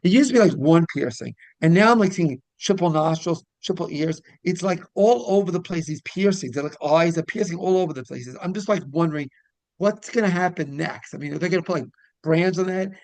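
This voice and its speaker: Fast-talking man with a creaky voice. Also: a little high, a little mellow, dry recording, rough recording. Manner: rambling, repeats phrases, griping about pet peeves. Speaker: interview show host. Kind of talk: casual conversational interview.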